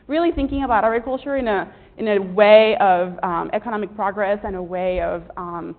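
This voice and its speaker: A woman's voice: mid-range voice, brisk. A woman from Rico, giving an earnest academic presentation to a room full of students, with frequent ums. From Puerto Rico. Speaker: research presenter. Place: lecture room with an audience. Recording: tinny, echoey lecture hall recording.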